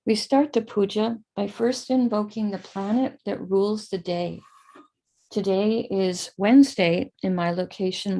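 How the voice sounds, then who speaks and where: An American woman guides a ritual over a video call at a slow, unhurried pace. Her voice has a gravelly edge of fry, and her tone stays calm and instructive as she explains each step. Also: mid-range voice, rough recording. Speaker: spiritual teacher leading a ritual. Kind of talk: guiding a live online prayer ritual.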